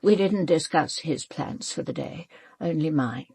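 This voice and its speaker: A woman's voice: sits low, unhurried, creaky, a little mellow, husky, no room sound, full character voice. An actress playing a proud, elderly upper-class British mother in a radio drama, speaking formally and precisely with controlled, cool dismissiveness. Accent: upper-class British English. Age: older. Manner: formal, clipped, haughty, precise.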